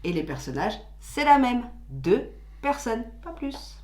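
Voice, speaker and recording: A woman co-hosting a video, explaining details in easy, chatty talk. Her voice sits a little high. The sound carries a roomy echo. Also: big pitch swings, a little slow, darkish tone. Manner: chatty, explains patiently with filler words. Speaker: co-host of a theme-park channel. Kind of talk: casual explainer chat. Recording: echoey room.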